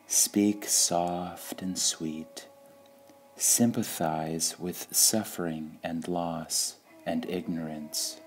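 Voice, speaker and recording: A man reading spiritual sayings aloud in a calm, measured way, pausing between phrases. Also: low-pitched. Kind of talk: slow meditative reading of quotations.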